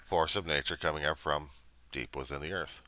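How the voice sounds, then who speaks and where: A middle-aged American man with an extremely deep voice that still has a bright, forceful edge. He rambles casually in plain-spoken fashion, halting often as he thinks aloud. The sound is dry and close, with very little room. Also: steady tone, no room sound. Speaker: vlogger.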